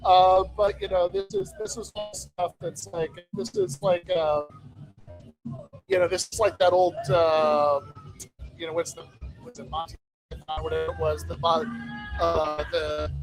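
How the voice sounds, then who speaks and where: Higher-voiced halting man over loud music. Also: lively intonation, low-quality recording. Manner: halting, circles back and repeats phrases. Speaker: podcast host. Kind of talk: casual chat. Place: bar.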